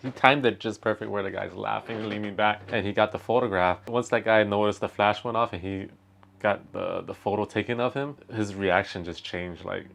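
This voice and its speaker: A man's voice: lowish voice, steady tone, normal speed, creaky, fairly bright tone, a little hiss or hum. A man hosting a reaction video, thinking aloud in casual commentary and talking straight to the viewers. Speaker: reaction video host, street photographer.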